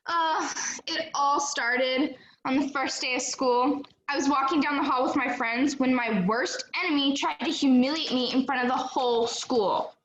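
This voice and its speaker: A young woman in an amateur stage play, acting a melodramatic, chatty runaway high school senior who tells her story earnestly. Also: steady tone, moderate pace, a bit bright, highs cut off, slight background noise. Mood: dramatic, confiding. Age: young adult. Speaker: student actor in a play. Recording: roomy, echoing sound.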